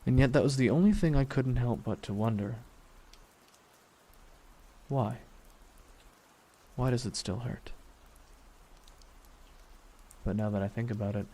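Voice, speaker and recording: A man playing a grieving, vengeful supervillain in an ASMR roleplay monologue, weary and softly menacing, brooding with deliberate pauses. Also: mid-range voice, close to an everyday voice. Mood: pained, taunting. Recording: steady noise under voice, rain ambience.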